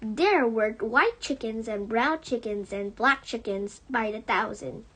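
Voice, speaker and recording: Female children's audiobook reader: expressive, high-pitched, slow and deliberate narration. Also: husky.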